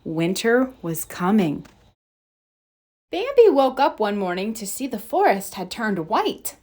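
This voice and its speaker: A woman reading a children's story aloud in an expressive, deliberate storybook narration style. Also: medium pitch. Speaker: storybook reader.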